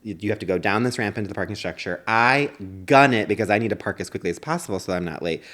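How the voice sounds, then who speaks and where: A man's voice: on the low side, quick pace, a bit bright. A man co-hosting a podcast in casual comedic chat, dramatizing his own anecdotes as he goes. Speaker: podcast co-host.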